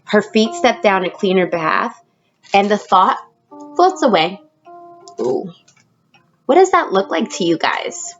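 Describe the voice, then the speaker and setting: A woman teacher reading a picture book aloud expressively, pausing to prompt young listeners with questions. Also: mid-range voice, lively intonation, dull audio, soft background music. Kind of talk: storybook read-aloud with questions to children.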